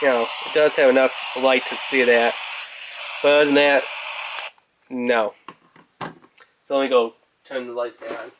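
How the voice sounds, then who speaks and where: A man's voice: mid-range voice, level tone, unhurried, pauses often, noisy background. A man giving a casual product review in an informal, rambling way, thinking aloud as he goes.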